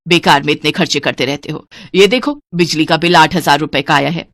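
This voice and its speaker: A woman's voice: low voice, brisk, fairly bright tone, dry recording, voice pushed down. A woman voicing a stingy, scolding middle-aged mother-in-law in a cartoon moral story, bossy and grumbling.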